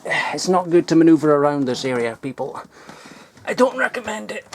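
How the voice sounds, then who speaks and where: A man's voice: a little high, big pitch swings, moderate pace, vocal fry, a little hiss or hum. A man rummaging through a cluttered loft, talking casually with lots of fillers and swearing as he shows off old toys. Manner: swears often, filler-heavy and digressive. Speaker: vlogger. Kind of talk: casual rambling show-and-tell.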